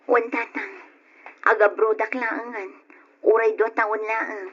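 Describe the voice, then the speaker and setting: A woman's voice: fairly high pitch, fairly even intonation, slow pace, breathy edge. A woman playing a burdened but determined, practical wife in an old radio drama, speaking earnestly and resolutely. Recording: dull, lo-fi old radio recording.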